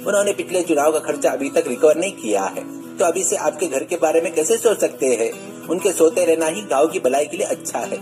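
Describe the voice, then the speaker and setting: A man's voice: a little high, animated, brisk, darkish tone, voice pushed up, close to an everyday voice. A man playing a sly, fawning, sarcastic assistant to a politician in a cartoon. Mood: mock-solemn. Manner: mock-solemn, sarcastic. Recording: music bed under the voice.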